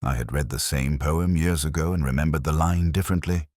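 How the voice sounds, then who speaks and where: A man narrating a literary mystery in the first person, in a measured, melancholy and solemn way. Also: extremely low pitch, a little mellow, no room sound, clean studio sound.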